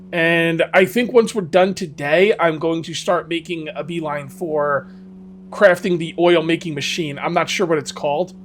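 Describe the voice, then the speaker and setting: A man with a hoarse, fading voice, casually commenting on his survival game as he plays and rambling to his viewers. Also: a little high, slight background noise. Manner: rambling, self-correcting, talks to viewers. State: losing his voice. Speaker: gaming video host. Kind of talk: casual let's-play commentary.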